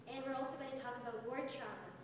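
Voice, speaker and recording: A woman's voice: high voice, fast, noisy background. A woman teacher presenting to an audience, speaking directly and engagingly to the room. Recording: echoey hall, far from mic.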